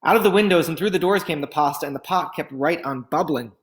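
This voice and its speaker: A man reading a picture book aloud in an expressive, dramatic storytelling style. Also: on the high side, fast.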